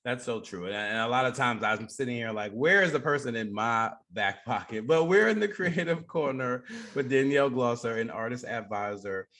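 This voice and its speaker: A man's voice: medium pitch, lively intonation, moderate pace, hardly any pauses, a bit bright. A man hosting a creative business radio show, asking questions in a conversational interview style.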